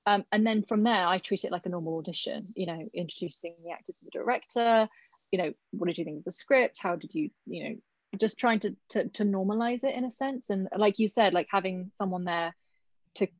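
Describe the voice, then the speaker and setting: A woman's voice: medium pitch, a little fast, dry recording. A woman working as a casting director, giving thoughtful, chatty advice to actors in a workshop over a video call. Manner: thoughtful, chatty, thinks aloud. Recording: dull lo-fi video call audio.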